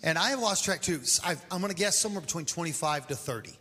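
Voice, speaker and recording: A man in his forties, a pastor leading a group debrief on a handheld mic, relaxed and joking with many fillers. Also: a little high, fast talker, a little hiss or hum. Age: 40s. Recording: long hall reverb.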